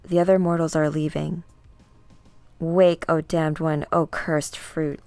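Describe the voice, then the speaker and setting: A woman voicing a cruel, otherworldly female tormentor from a comic book, taunting in a slow, mocking, ominous way. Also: sits low, little pitch movement, darkish tone, clean tone, no echo, faint music behind.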